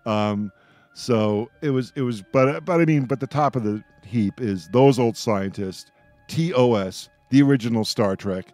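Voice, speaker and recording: Male talk host, mid-pitched, casual and emphatic, circles back over his words. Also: measured pace, darkish tone, no room sound, soft background music. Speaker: radio host moderating a roundtable. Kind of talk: casual roundtable chat.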